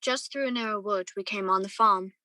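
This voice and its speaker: A woman's voice: medium pitch, brisk, close to an everyday voice. A young woman playing a wistful, courteous man who narrates his memories lyrically in a radio play. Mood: admiring, reflective. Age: young adult. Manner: lyrical, reflective storytelling. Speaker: student actor.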